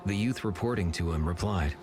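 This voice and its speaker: Deep-voiced solemn male narrator. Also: a little fast, music in the background. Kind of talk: scripted scripture narration. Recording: clearly audible background noise and ambience.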